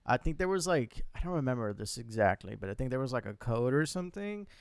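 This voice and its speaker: Smooth-voiced guy, dry close mic. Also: medium pitch, sing-song, fast, no echo. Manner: loose, swearing, reads lists with laughs. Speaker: podcast co-host. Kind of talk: casual chat.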